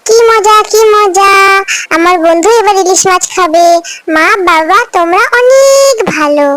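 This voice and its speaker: A woman's voice: very high-pitched, unhurried, a bit bright. A woman voicing a sweet, kind-hearted little village girl in a children's cartoon, childlike and earnest. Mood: delighted. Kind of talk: Bengali children's cartoon story dialogue.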